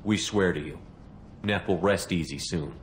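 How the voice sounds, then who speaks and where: Man playing steady military investigator, deep voice, terse and calm, businesslike, sci-fi game, faint noise. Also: normal speed, natural voice. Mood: reassuring. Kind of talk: video game voice acting.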